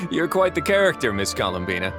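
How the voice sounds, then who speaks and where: A man's voice: mid-range voice, sing-song, moderate pace, over music, lightly acted. A man voicing a wry, composed prison duke in a video game, with a dry, laid-back and teasing manner. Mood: wry, amused.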